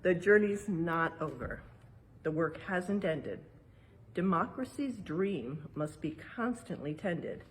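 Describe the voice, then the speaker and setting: A woman's voice: lowish voice, expressive, takes it slowly, a little hiss or hum. A woman with an American accent reading a picture book aloud and explaining it simply for young listeners as a museum educator. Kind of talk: read-aloud with explanatory asides.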